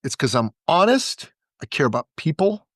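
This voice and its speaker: A man talking as a podcast guest and business coach, giving an energetic pitch and repeating words for emphasis. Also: moderate pace, no echo, clean studio sound.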